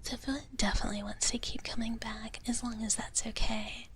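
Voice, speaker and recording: A woman voicing a gentle, shy dragon big-sister streamer, whispering breathily and haltingly in a soft ASMR chat. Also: a little high, level tone, lightly acted. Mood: soft, friendly. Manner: hesitant, halting, soft-spoken, refers to herself in third person. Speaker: ASMR livestream host.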